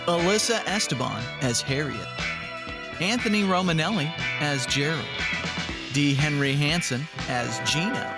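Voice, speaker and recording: A man with a slightly high voice works as the credits announcer, reading a scripted list of names and roles. Loud music with guitar plays behind him throughout.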